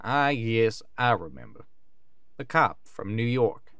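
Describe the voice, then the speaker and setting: Low-voiced plainspoken country man played by an actor. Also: very animated, takes it slowly, fairly bright tone, dry recording, studio quality, exaggerated voice. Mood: approving. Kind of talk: audio drama acting.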